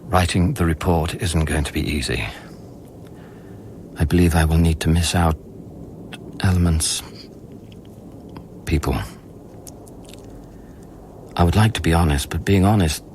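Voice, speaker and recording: Deep-voiced pensive man in radio drama. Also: measured pace, pauses often, darkish tone, slight background noise. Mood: pensive, troubled. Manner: hesitant, pensive, broken phrasing. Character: reflective, troubled male space scientist narrating his report. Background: music.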